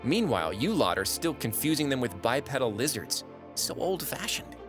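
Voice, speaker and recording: A man's voice: mid-range voice, very animated, normal speed, music in the background, natural voice. An actor playing a wry, irreverent former god who narrates his own record in a chatty, sardonic audio drama style. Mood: wry, defiant.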